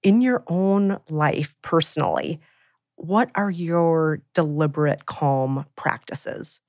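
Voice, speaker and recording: A woman's voice: low-pitched, slow, darkish tone, dry recording, studio quality. A woman hosting a podcast interview, asking encouraging questions. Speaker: podcast host.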